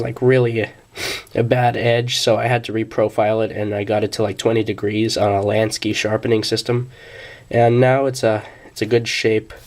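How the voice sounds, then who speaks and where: A young man, a bit sick, casually reviewing a pocket knife, with many fillers and restarts. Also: mid-range voice.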